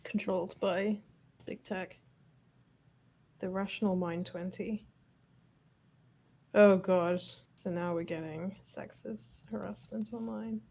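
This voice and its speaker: A woman's voice: medium pitch, steady tone, takes it slowly, creaky, pauses often, dark tone. A woman in her twenties chatting casually and thoughtfully during a let's play, with some hesitation. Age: 20s. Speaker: game streamer, PhD student.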